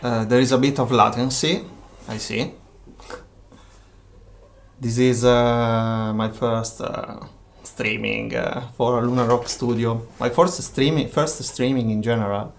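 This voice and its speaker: Italian-accented man, slow-talking livestream host, halting and a little tired. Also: mid-range voice, steady tone, vocal fry, slight background noise. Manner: slow, halting, many filler pauses. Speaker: board game designer hosting a livestream Q&A. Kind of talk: casual livestream chat.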